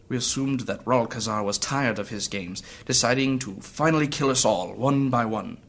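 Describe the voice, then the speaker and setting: A battle-worn male fantasy adventurer with a grave, guarded air. He speaks at a middle pitch in solemn, courtly, archaic phrases, like a storyteller in an audio drama who has seen terrible things. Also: creaky, fairly bright tone.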